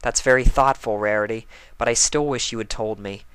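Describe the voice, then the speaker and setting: A man voicing a thoughtful, studious female unicorn pony, sincere and measured, in a cartoon fan fiction reading. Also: medium pitch, fairly even intonation, fairly bright tone, clean tone, no room sound, lightly acted. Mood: caring.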